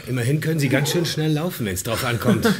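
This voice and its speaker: Male actor as gruff sardonic leader, radio drama, commanding, medium pitch, light background ambience. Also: animated, quick pace, slight background noise, natural voice. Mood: sardonic, insistent. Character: gruff, bossy man leading a survivor group, sarcastic. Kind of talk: German radio drama acting.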